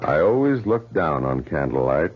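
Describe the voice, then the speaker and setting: An actor playing an earnest, excitable scientist with a formal, intense manner in a 1970s radio drama. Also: male voice, very deep voice, moderate pace. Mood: charmed. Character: agitated, earnest male scientist in hiding. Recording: muffled, hissy old radio broadcast.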